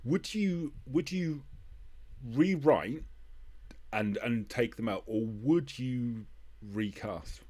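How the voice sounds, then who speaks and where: A man hosting a casual interview podcast, speaking in halting phrases with repeated starts as he works out his point. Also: mid-range voice, mellow. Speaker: podcast host.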